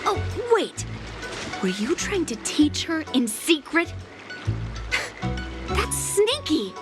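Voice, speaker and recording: Cocky competitive girl, blunt, smug, video game acting, high pitch, music behind. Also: big pitch swings, slow, full character voice. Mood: scornful. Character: cocky, competitive young girl sword master, haughty and blunt.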